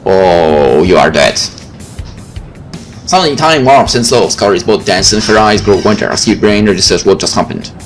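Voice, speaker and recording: Deep-voiced man reading a game aloud. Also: expressive, moderate pace. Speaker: gaming video host. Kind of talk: reading game narration aloud with casual commentary. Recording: faint game music behind the voice.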